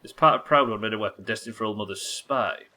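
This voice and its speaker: Bright-voiced man puzzling aloud. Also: lowish voice, quick pace. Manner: musing, questioning himself, sweary. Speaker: live game streamer. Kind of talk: casual gaming livestream commentary, thinking aloud.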